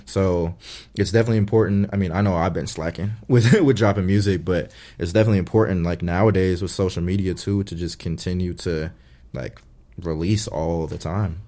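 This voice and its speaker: Young male DJ guest, deep warm voice, laid-back filler-heavy talk. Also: steady tone, fairly quick. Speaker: guest DJ and producer. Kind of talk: casual interview chat.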